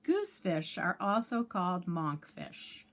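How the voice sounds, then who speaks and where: Female teacher for children's read-aloud: unhurried, lively intonation, careful enunciation, chatty asides to little listeners. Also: on the low side, slight background noise. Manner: sing-song, enunciating letters for young children; adds asides and questions to young listeners. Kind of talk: read-aloud of a children's alphabet picture book.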